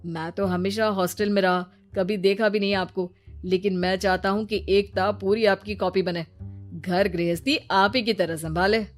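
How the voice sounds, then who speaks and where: A woman's voice: mid-range voice, fairly even intonation, moderate pace, soft background music, voice pushed down, lightly acted. A woman voicing a nagging, exasperated young husband in a cartoon family story, scolding and insistent. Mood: insistent, complaining. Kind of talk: Hindi cartoon story acting.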